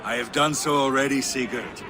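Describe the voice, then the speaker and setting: An actor voicing a measured male Viking counselor in a video game. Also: mid-range voice, natural voice. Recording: game audio, distant with noise.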